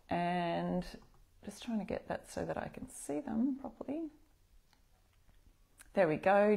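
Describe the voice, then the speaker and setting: A middle-aged Australian woman hosting a live craft tutorial, chatting with viewers and greeting them by name from a table in a bright room. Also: medium pitch, creaky. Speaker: craft demo host.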